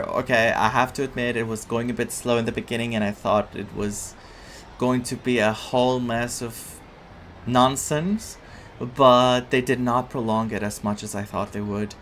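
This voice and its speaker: A man giving casual, excitable let's play commentary as a game streamer. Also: mid-range voice, unhurried, slight background noise. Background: game music.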